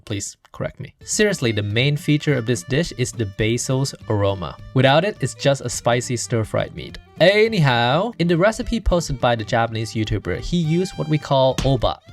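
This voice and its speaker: A man's voice: mid-range voice, lively intonation, a little fast, vocal fry, few pauses, soft background music. Wry man hosting a cooking vlog. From Thailand. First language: Thai. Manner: wry, dry joking asides. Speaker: cooking channel host. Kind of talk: casual talk-to-camera cooking vlog.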